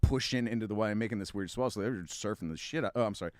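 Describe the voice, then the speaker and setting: Lower-pitched man in casual talk. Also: racing, a little mellow, no room sound, clean studio sound. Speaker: talk show co-host. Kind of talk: casual chat.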